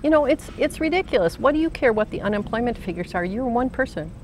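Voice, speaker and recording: A middle-aged woman job coach giving an energetic, emphatic pep talk in a documentary interview. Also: on the high side, fast, a little hiss or hum.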